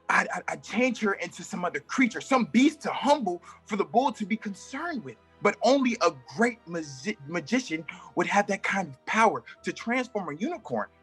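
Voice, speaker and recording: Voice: mid-range voice, a bit bright, husky. A performer reading a male wandering magician in a play table read, stammering and theatrical. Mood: fretful. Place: live reading at an art exhibition.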